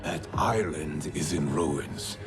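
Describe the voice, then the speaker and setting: A man playing a grave, doubting servant of a villain in a video game, deliberate in manner. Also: rumbling low. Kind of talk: video game drama acting. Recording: loud music underneath.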